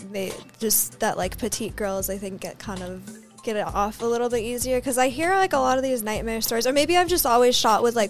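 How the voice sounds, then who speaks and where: A young woman with an American Southwestern, Valley-girl accent, chatting casually as an interview guest and peppering her talk with "like.". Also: fairly high pitch. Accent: American Southwestern with a Valley-girl twang. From American Southwest, New Mexico. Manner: Valley-girl talk, filler-heavy with frequent "like". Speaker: interview guest, adult film performer.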